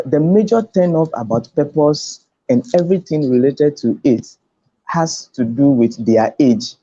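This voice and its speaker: Casting: Ghanaian man, mellow voice and slow delivery, emphatic motivational conference speaker who repeats himself for weight. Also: fairly high pitch. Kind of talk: motivational talk on a video conference. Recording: dull lo-fi online call audio.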